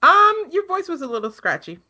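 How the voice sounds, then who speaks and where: A woman's voice: very high voice, sing-song, a little fast, crowd noise. A woman co-hosting a podcast in casual chat, talking in a chatty, hedging way. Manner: chatty, hedging, laughs easily. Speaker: podcast co-host. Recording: slightly dull lo-fi sound.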